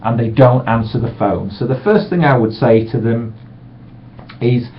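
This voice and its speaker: Relaxed man on a webcam. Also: medium pitch, moderate pace, a little hiss or hum. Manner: relaxed, reassuring, acts out sample voicemails brightly. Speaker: sales trainer. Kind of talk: casual webcam training talk. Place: small room indoors. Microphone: webcam microphone. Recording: dull lo-fi webcam audio.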